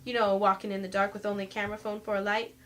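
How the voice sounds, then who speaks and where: A woman's voice: mid-range voice, little pitch movement, very fast, lightly acted. A woman playing a small, brazen, slangy female murder suspect in a radio mystery. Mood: feigned innocence. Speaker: amateur voice actor in an audio mystery. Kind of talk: scripted radio mystery acting.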